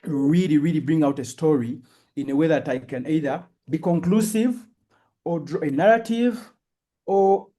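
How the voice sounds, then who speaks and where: Brief: male interview guest, slightly higher pitch, mellow tone, measured and reflective, trips over restarts. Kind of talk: casual interview chat.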